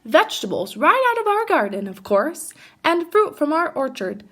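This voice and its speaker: A woman's voice: sits high, big pitch swings, normal speed, pitched above the performer's own voice. A woman voicing a firm, sensible mother bear in a children's storybook, speaking in a no-nonsense way. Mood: matter-of-fact. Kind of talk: children's storybook read-aloud.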